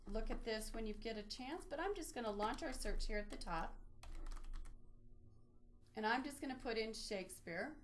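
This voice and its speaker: A woman with a mid-pitched voice leads an online training session. She talks through each step in an informal, explanatory way, as a presenter demonstrating a resource on screen. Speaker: librarian presenting a database tutorial. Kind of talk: instructional screen-share webinar walkthrough.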